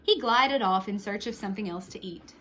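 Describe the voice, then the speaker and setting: A woman reading a picture book aloud to young children, expressively, with short hushed asides to the listeners. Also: medium pitch, brisk, slight background noise. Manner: expressive, with hushed whispered sound words and asides. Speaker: storytime reader for young children.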